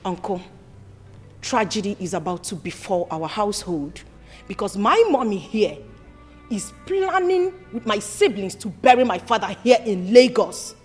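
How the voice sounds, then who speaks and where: A fiery young woman with a Nigerian accent tears into her family. Her voice sits very high and leaps around as she scolds. Faint music runs beneath the scene. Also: very animated, normal speed, a bit bright, heavily acted. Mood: grave. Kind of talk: film drama acting.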